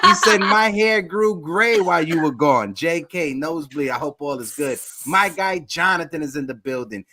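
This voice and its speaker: A man's voice: on the high side, expressive, normal speed, few pauses, crowd noise. Upbeat man hosting a sports stream. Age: 30s. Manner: upbeat shout-outs to chat viewers. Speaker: podcast host. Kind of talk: casual sports talk livestream.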